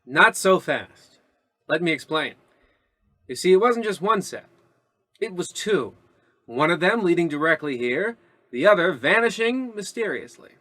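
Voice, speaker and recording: A man's voice: high-pitched, very animated, a little slow, pauses often, pushed and bright, dry recording, exaggerated voice. A man playing an overconfident, melodramatic police detective in an old-time radio comedy style. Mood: excited, insistent. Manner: melodramatic, emphatic. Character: excitable, overconfident male police detective with absurd logic.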